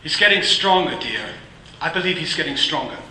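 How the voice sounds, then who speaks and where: A man's voice: fairly high pitch, lively intonation, fairly quick. Male actor as a cheerful clerk, radio play, faint hiss. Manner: warm, laughing. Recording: echoey room with faint hiss.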